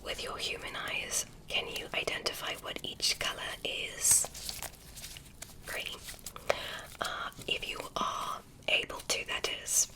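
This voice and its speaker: A woman's voice: slow, natural voice, rough recording. Woman whispering as a curious alien examiner. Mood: soft, clinical. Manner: whispered, soft and clinical, asking questions. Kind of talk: ASMR medical roleplay.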